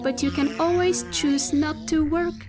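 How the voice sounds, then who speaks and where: A woman playing a patient, detached spacesuit AI in a scripted science fiction audio drama, speaking deliberately and instructively. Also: sits high, steady tone, over music. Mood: patient, eerie. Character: female spacesuit AI machine, patient, detached, rambling.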